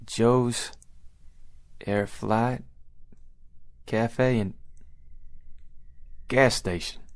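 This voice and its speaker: A stammering, bewildered old-west pioneer. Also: male voice, medium pitch, fairly even intonation, smooth. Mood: bewildered, halting. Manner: stammering, halting, old-fashioned folksy phrasing. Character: bewildered, weary pioneer man from 1847, increasingly frantic. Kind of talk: radio drama acting.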